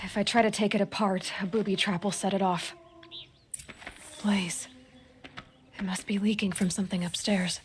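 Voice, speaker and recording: An actress playing a determined, level-headed young hunter in a video game, focused and matter-of-fact. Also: female voice, medium pitch, flat delivery, moderate pace, pauses often, slight background noise, close to an everyday voice. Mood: tense focus. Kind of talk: dramatic video game voice acting.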